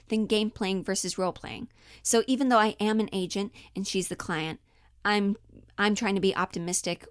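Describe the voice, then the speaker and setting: Female acting coach, mid-pitched, chatty and expressive, informal and slangy, dropping into character mid-explanation; dry, close recording. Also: dry recording. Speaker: acting teacher. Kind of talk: casual acting lesson with lines acted out.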